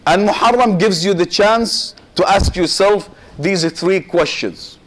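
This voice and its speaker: A man, a sheikh giving a Muharram sermon, speaking in short measured phrases with pauses, addressing listeners directly and dropping Arabic religious phrases into his English. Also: sits high, fairly bright tone. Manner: addresses listeners directly and asks rhetorical questions.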